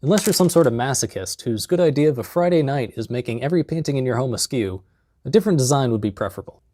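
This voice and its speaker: A young man in his twenties with a lapel mic in a basement, narrating a comedic DIY tutorial in a deadpan, jokey way with mumbled asides. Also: mid-range voice, fast, dry recording. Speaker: DIY maker vlogger. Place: basement workshop, indoors.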